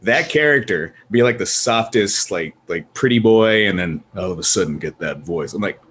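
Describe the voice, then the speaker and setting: Low-voiced man, opinionated podcast talk, noisy background. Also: lively intonation, moderate pace, fairly bright tone. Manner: opinionated, emphatic, rambling. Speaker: podcast host. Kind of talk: casual panel discussion about movies.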